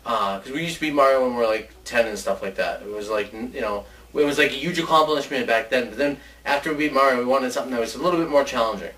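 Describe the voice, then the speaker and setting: Mid-pitched man rambling casually, off-mic. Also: quick pace, few pauses, a bit bright. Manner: informal, rambling. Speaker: co-host of a movie ideas show. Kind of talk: casual chat pitching ideas. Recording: distant mic with faint background noise.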